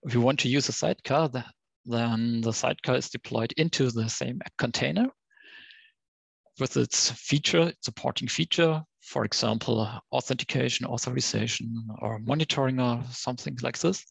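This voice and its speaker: A man giving an explanatory tech presentation on an online call, in a careful, halting way. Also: medium pitch, gravelly, breathy edge, no room sound, rough recording. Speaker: guest presenter, software developer. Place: online conference call with screen share.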